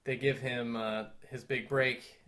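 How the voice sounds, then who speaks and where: Guy cohosting a film history podcast, medium pitch, chatty but explains things carefully and thoughtfully. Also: level tone, slow pace, fairly bright tone. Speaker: podcast co-host. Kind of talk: conversational film history discussion.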